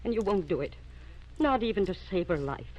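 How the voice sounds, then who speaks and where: A woman's voice: medium pitch, animated, fairly quick, a little mellow, lightly acted. Actress as insistent, emotional radio-melodrama woman. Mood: reproachful. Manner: dramatic, insistent. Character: emotional, reproachful woman pleading for a dying girl. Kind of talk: old-time radio melodrama acting. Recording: dull vintage radio recording.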